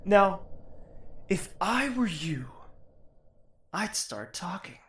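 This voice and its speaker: An actor playing a grieving, vengeful young royal in a fantasy audio drama, moving between hushed menace and raging. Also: male voice, sits high, sing-song, drawn-out words, exaggerated voice. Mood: cold, controlled threat. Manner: theatrical, swings between hushed menace and screaming. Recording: roomy echo with faint noise.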